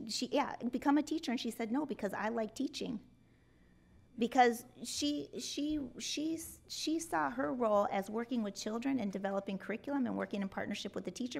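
A woman's voice: medium pitch, gravelly. A middle-aged woman answering interview questions at a hearing table, earnest and gesturing, often restarting her sentences. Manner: earnest, expressive with hand gestures, many restarts. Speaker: superintendent candidate. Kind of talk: public job interview answers. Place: hearing room with rows of empty seats. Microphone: table microphone.

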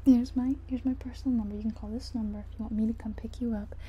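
A woman playing a friendly, chatty taxi driver in soft-spoken ASMR roleplay, breathy and often whispering, gently hesitant as she murmurs along. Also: on the high side, steady tone, fast, dull audio. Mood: warm, grateful. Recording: soft ambient car and rain noise.

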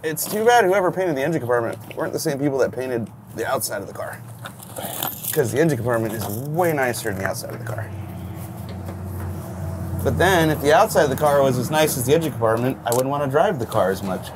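A man's voice: mid-range voice, expressive, fast. A man in his fifties, a host talking casually and joking while he shows a roadside car fix outdoors. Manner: easygoing, joking, explains while working. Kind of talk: casual garage how-to chat. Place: outdoors by a car, then inside the car. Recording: outdoor background noise.

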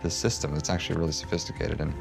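A man's voice: extremely low pitch, little pitch movement, racing, creaky. A man working on a film's design, speaking in a behind-the-scenes interview. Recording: music playing behind the voice.

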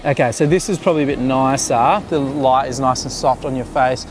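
A man in his thirties directing a beach photo shoot, relaxed and chatty, with many restarts and fillers, recorded outdoors at the shore. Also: medium pitch, brisk. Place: open sandy beach at sunset, outdoors. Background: music.

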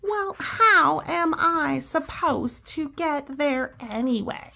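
Lady doing a nervous drama queen pigeon for a kids book, squeaky high, swoopy, stretched out words. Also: lively intonation, gravelly, pushed and bright, exaggerated voice. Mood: dawning, indignant. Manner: exclaiming, drawn-out, overdramatic questioning. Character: anxious, dramatic, excitable cartoon pigeon. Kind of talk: children's picture book read-aloud.

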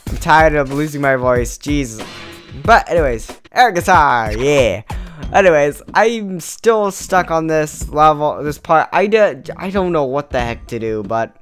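A young man with a tired, hoarse voice, rambling casually as he thinks aloud while playing a video game. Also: a little high, lively intonation, measured pace, a bit bright. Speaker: let's play host. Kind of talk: casual gameplay commentary. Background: game music.

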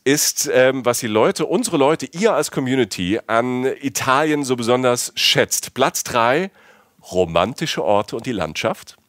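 A man's voice: mid-range voice, lively intonation, measured pace, a bit bright, no room sound, clean studio sound. A man from south-west Germany, middle-aged, chatting casually and enthusiastically as a podcast host. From Palatinate, south-west Germany. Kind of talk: casual chat.

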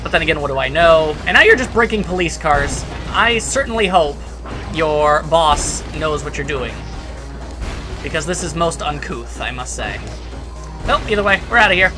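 A man's voice: a little high, animated, normal speed. A man doing casual, jokey let's play commentary in a sarcastic, mock-grandiose manner. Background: game music.

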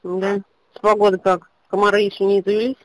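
A woman's voice: medium pitch, little pitch movement, a little fast, no echo. Woman chatting on a dry phone call. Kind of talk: casual phone chat.

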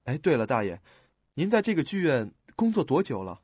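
A man's voice: a little high, big pitch swings, brisk, darkish tone, slightly rough, no room sound, dull audio. A man playing an earnest, uneasy young investigator in a suspense radio drama. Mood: earnest, subdued.

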